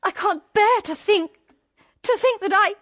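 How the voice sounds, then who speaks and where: High-voiced, ardent young British woman. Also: measured pace, fairly bright tone. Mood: desperate, pleading. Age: young adult. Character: ardent, devoted young woman in love with brother-in-law. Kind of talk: radio drama acting.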